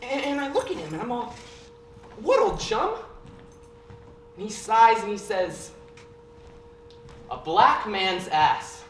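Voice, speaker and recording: A woman playing an arrogant, petulant teenage boy on stage, sneering and flaring into shouts. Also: a little high, very animated, a little slow, pauses often. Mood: mocking. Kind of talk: stage play acting. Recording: roomy stage recording from a distance, faint hiss.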